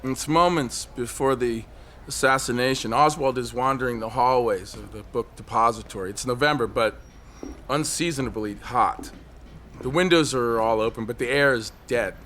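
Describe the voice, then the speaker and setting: An actor playing a brooding, desperate man on a ledge in an audio drama, telling his story in an intense, halting, obsessive way. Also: mid-range voice, fairly bright tone, slight background noise, natural voice. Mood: haunted, reflective. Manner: intense, halting, obsessive monologue with repeated phrases.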